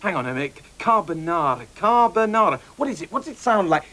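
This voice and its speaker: A man playing a flustered, excitable restaurateur in a children's slapstick comedy, exasperated and repeating himself. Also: sits high, racing, a little hiss or hum. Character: flustered, excitable male restaurateur posing as Italian waiter.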